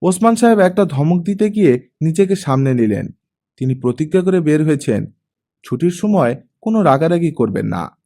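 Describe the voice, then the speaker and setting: A man reading a story aloud as an expressive audiobook narrator. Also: on the high side. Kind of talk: expressive scripted story narration, voicing the dialogue.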